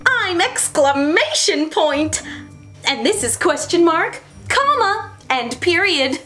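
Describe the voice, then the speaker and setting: A woman voicing a loud, excitable exclamation point from a children's picture book, exclaiming gleefully in drawn-out bursts. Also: very high voice, soft background music, voice pushed up, full character voice. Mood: proud, friendly.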